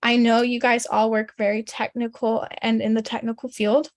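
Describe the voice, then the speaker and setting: A young woman whose first language is German, giving a casual, chatty resume webinar full of "like" and "um" and frequent throat clearing. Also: a little high, little pitch movement, normal speed, dry recording, clean studio sound. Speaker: career coach presenting a resume webinar.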